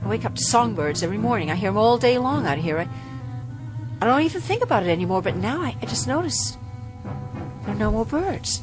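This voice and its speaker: A woman's voice: on the high side, expressive, a little fast, lightly acted, poor audio. An actress playing a frightened but stubborn woman from a remote cabin in a 1960s TV drama. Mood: uneasy. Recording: vintage TV soundtrack with music.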